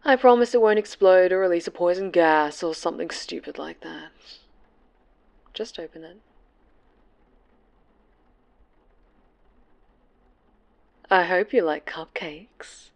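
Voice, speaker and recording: Woman performing an obsessive female supervillain, ASMR horror roleplay, lowish voice, slow menacing delivery, sugary and breathy, whispery. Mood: playful, teasing. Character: obsessive vengeful female superhero villain, sweetly menacing stalker.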